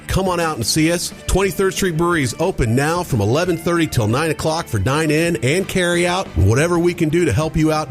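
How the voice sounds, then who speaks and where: A man speaking as a local business spokesman in a friendly advertising address. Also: a little high. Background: music.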